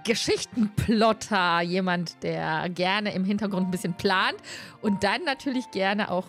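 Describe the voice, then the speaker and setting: A woman in her fifties hosting a casual interview on a close microphone, pausing mid-sentence as she phrases her thoughts. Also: mid-range voice, fairly bright tone. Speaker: stream host, interviewer. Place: indoors at home, video call. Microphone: close desk microphone, headphones. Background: background music.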